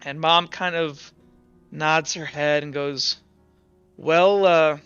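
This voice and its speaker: A man's voice: on the high side, level tone, takes it slowly, bright, forceful tone, clean tone, lo-fi sound, soft background music. A man narrating a tabletop game as game master in a casual, improvised style.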